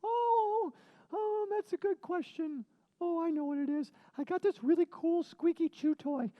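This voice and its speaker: A performer voicing an excitable, childlike dog puppet who chats eagerly and playfully as co-host of a children's story show. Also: extremely high pitch, measured pace, no echo, dull audio. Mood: eager, gushing. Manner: eager, playful, gushing, with frequent interjections.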